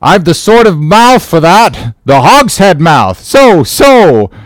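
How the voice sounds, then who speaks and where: A man's voice: high-pitched, very animated, slow pace, no room sound, pitched above the performer's own voice, heavily acted. A man voicing a bossy whaling sailor who bellows orders across the ship. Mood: bellowing. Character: loud bossy young whaling sailor calling the watch.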